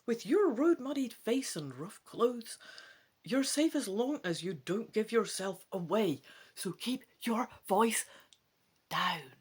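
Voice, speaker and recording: A man's voice: sits high, lively intonation, slow, breathy edge, voice pushed up, heavily acted. A man voicing a stern, ancient female elf tutor who admonishes her pupil in a dramatic gamebook reading. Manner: sharp, hushed, admonishing. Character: stern two-hundred-year-old female elf tutor and bodyguard.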